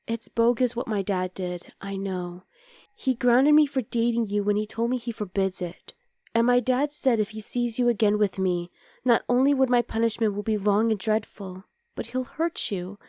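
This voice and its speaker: Soft, close-up demon girlfriend, acted by a woman. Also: fairly high pitch, normal speed, no echo, natural voice. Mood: worried, regretful. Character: affectionate young demon girlfriend, daughter of underworld leader. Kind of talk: ASMR roleplay, intimate one-sided dialogue to listener.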